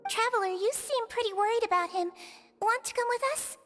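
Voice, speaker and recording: A woman voicing a small, childlike girl nurse in a video game, speaking in a formal, gentle, precise way. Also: very high-pitched, brisk, big-room sound, soft background music. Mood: caring.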